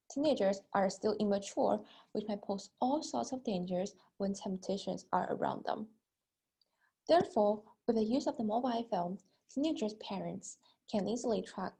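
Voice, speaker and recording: A woman's voice: mid-range voice, vocal fry, dark tone, breathy edge. A young woman delivering a rehearsed persuasive speech, stressing her openers and sometimes repeating a phrase as she goes. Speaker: student speaker.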